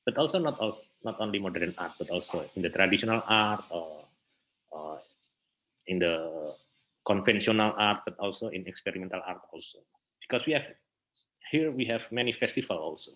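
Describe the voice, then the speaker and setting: A man's voice: sits low, lively intonation, brisk, fairly bright tone, poor audio. A man with an Indonesian accent answering interview questions informally, in hesitant phrases with repeated words. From Indonesia, north coast of Java. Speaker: interview guest, theatre artist and festival director.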